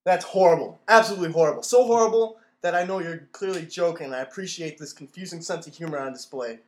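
A man playing a pompous, rambling retired admiral who runs a radio station, deadpan, in a mock old-time radio play. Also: a little high, fast, natural voice. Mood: blustering. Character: pompous, addled retired navy admiral and radio boss, male.

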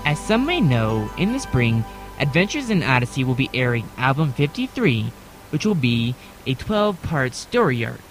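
A man's voice: mid-range voice, sing-song, normal speed, music in the background. A man playing a formal, upbeat evening news anchor in a mock broadcast.

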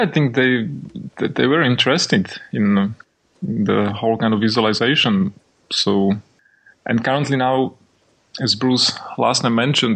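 A young man from Slovakia with a Slovak accent, a podcast guest answering questions in halting English and searching for words. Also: mid-range voice, vocal fry, a bit bright, breathy edge, poor audio. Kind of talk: podcast interview.

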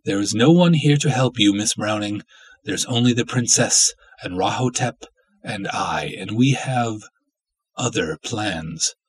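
A man voicing an obsessive, lovestruck villainous cultist in a dramatic story reading, florid, theatrical and menacing, with a husky voice. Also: on the low side, a little slow, no echo, rough recording. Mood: gloating, menacing.

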